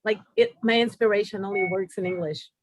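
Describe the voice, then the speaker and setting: A woman's voice: mid-range voice, steady tone, brisk, no echo, poor audio. A woman from Brazil and a native Portuguese speaker, speaking hesitantly as a participant on a video call. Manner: hesitant, with fillers. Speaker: workshop participant.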